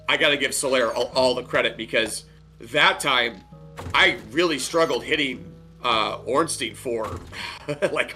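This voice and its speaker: A man's voice: mid-range voice, bright, forceful tone. A man chatting casually on a gaming stream, his voice worn from a cold, rambling with restarts and chatty asides. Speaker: streamer and co-host.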